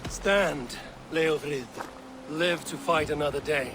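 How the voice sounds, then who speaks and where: Grave Viking warrior, music behind. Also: male voice, on the high side, slow. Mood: grave, persuasive. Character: stoic Viking warrior leader, firm but merciful. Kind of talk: dramatic video game acting. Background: loud game music.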